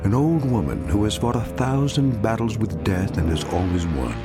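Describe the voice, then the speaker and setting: Low, ominous male radio narrator. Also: animated, over loud music. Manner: measured, portentous. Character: solemn, ominous narrator of eerie anthology tales. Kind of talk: scripted dramatic radio narration.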